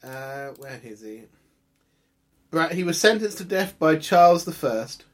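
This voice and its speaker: A man narrating a tabletop horror game as game master, improvising in a conversational, halting way and thinking aloud. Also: on the high side, lively intonation.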